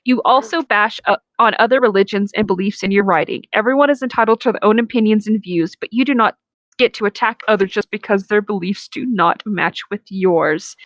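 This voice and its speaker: Female co-host, medium pitch, informal read-aloud style with small stumbles and self-corrections, dry studio-close sound. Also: fairly quick, no room sound, low-quality recording. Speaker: co-host reading reader reviews aloud. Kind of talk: casual reading aloud with reactions.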